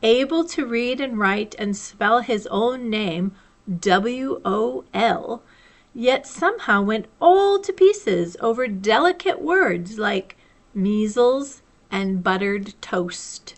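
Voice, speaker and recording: A woman reading a children's storybook aloud as a library storyteller, with measured, deliberate phrasing. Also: fairly high pitch, animated.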